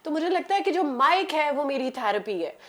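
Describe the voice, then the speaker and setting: A woman's voice: high voice, big pitch swings, normal speed, a bit bright. A young adult woman with a Pakistani accent, speaking earnestly as a talk show guest and mixing in English phrases. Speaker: guest, dubbing artist.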